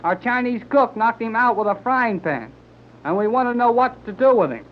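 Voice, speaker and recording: An excitable young male sidekick with folksy exclamations. The sound is a dull, lo-fi old radio serial recording with faint hiss. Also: very high-pitched, moderate pace. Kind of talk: 1930s radio acting.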